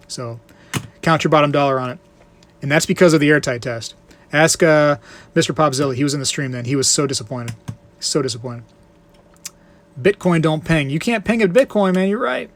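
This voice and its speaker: A man hosting a casual live stream, reading viewer comments aloud and answering them in a joking, rambling way. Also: fairly high pitch. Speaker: livestream host.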